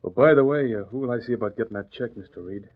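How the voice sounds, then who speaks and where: An actor playing a hesitant engineer angling for money in a 1940s radio drama. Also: male voice, medium pitch, fast talker, natural voice. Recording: tinny old radio recording.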